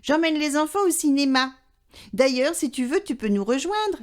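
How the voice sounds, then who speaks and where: Lady doing a bubbly mom leaving a voicemail, high and sing-song. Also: animated, normal speed. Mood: breezy. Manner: bright, breezy, affectionate. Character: cheerful chatty young mother on the phone.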